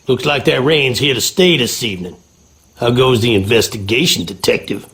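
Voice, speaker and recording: An actor playing a wary, rustic groundskeeper with an American Southern accent in a video game. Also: male voice, mid-range voice, moderate pace, fairly bright tone, lightly acted. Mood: guarded, uneasy. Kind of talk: video game character acting.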